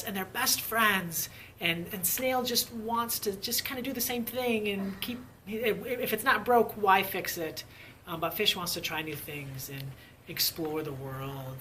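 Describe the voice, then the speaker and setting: An American man with a bright, forceful voice talks casually, like a teacher vlogging. He is enthusiastic and leans on repetition to drive points home. There is faint ambient noise behind him. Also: high voice, poor audio. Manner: enthusiastic, emphatic, repeats himself for emphasis. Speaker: elementary school teacher and book reviewer. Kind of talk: casual vlog book recommendations.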